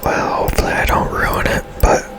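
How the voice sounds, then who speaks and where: A man whispering casual, rambling ASMR commentary over his own gameplay, musing as he goes. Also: brisk. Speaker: gaming streamer narrating own gameplay.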